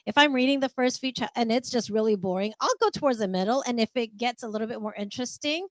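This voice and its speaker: A woman's voice: fairly high pitch, fast talker, dry recording. A woman hosting a radio book chat who asks the questions and agrees with her guests.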